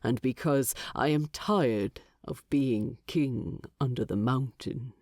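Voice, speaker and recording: A woman voicing a weary, resolute male dwarf king in an audiobook story, with a grave, deliberate, gravelly delivery. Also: very deep voice, darkish tone, no echo, studio quality, voice pushed down, exaggerated voice. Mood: earnest, weary.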